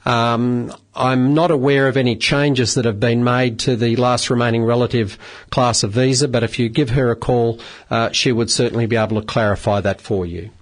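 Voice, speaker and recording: A middle-aged Australian man hosting a radio phone-in legal advice show, measured and polite, with many filler sounds. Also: medium pitch, steady tone. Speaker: radio phone-in host, solicitor. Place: radio studio.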